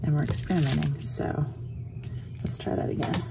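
Low gravelly woman with a hoarse morning voice. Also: moderate pace, very noisy. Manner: chatty, relaxed, trailing off into soft murmurs. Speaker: art journaling instructor. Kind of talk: casual craft tutorial narration. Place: home craft desk, indoors.

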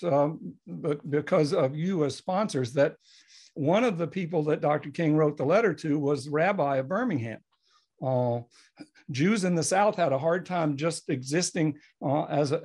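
A man in his seventies giving unscripted panel remarks as a guest historian, speaking in a reflective, halting but emphatic storytelling way on a video call from a home office. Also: fairly high pitch, a little mellow. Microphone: computer mic on video call.

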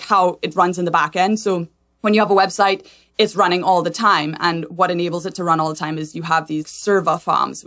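A woman's voice: lowish voice, fairly bright tone. A woman from Trinidad and Tobago explaining things conversationally as an expert interview guest. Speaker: guest, sustainability consultant.

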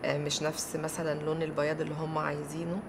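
A woman's voice: low voice, monotone, racing, background noise. A middle-aged mother with an Egyptian Arabic accent, chatting casually and dropping English words into her speech. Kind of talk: casual family conversation.

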